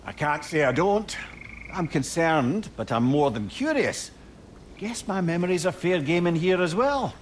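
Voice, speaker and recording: An actor playing a wry, chatty old talking head and loyal advisor with a Scottish accent in a video game. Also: male voice, on the high side, animated, a little slow, a little hiss or hum. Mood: wistful, curious. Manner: chatty, wry, earnest persuasion. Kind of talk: video game dramatic acting. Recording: game audio under music.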